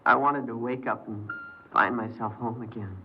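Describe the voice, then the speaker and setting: An actor playing a frightened, remorseful fifteen-year-old runaway boy in a 1950s radio crime drama, speaking haltingly. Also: mid-range voice, steady tone, lo-fi sound, faint music behind, lightly acted. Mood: subdued, halting. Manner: hesitant, halting, stammering.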